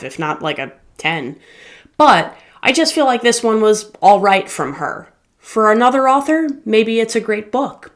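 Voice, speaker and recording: Woman giving a candid book review. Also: lowish voice. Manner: candid, conversational, measured opinions. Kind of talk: casual spoken book review.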